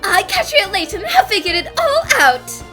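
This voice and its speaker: Woman acting a high, bright girl detective. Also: very animated, normal speed, faint music behind, exaggerated voice. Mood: triumphant. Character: bright, theatrical girl detective solving a space mystery. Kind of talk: animated fan dub acting.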